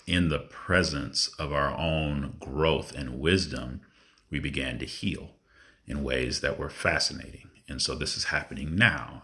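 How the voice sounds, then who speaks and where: A man with a deep voice giving a spontaneous spiritual monologue, earnest and reflective, with drawn-out pauses. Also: bright, forceful tone. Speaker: spiritual vlogger.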